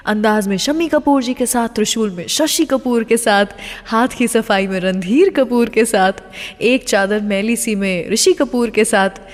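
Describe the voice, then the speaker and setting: A woman's voice: mid-range voice, big-room sound. A woman with an Indian accent presenting a scripted radio show, addressing listeners directly and mixing in English phrases.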